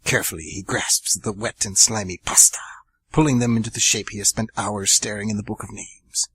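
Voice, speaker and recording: A man's voice: lowish voice, steady tone, fairly quick, bright, forceful tone, husky. A man hosting an amateur radio theatre show, narrating the story earnestly and chatting between segments with casual fillers.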